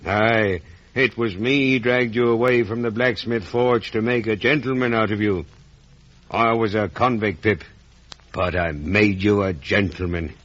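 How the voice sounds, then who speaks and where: An actor playing a big, grey-haired ex-convict, rustic, halting and fervently sentimental, in a 1950s radio drama. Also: male voice, lowish voice, fairly bright tone. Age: older. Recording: dull vintage radio recording.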